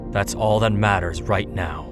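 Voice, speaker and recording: A man plays a brooding demon killer in an audio drama, protective and terse. The voice is low and grave, with prominent music behind it. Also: measured pace, lightly acted. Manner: terse, grave, dry retorts.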